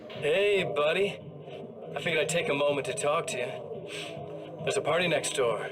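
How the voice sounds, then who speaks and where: An actor playing an evil double in a video game, loose, tipsy and falsely friendly. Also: male voice, on the high side, a little slow, close to an everyday voice. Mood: tipsy, chummy. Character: menacingly chummy evil double of the hero. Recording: very loud music behind.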